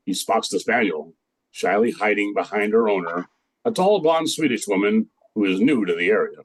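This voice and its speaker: A man's voice: on the low side, lively intonation, moderate pace, low-quality recording. An older man narrating a scripted radio play as its storyteller.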